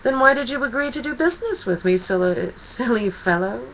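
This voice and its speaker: A woman's voice: a little high, lively intonation, brisk, highs cut off, slight background noise, voice pushed up. A woman voicing a gleeful, teasing male chaos creature from a children's storybook, with theatrical mock innocence and gushing delight. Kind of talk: children's storybook character reading.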